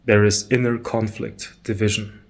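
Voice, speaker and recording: A man leading a guided meditation talk, calm and measured, pausing between short phrases. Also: on the low side.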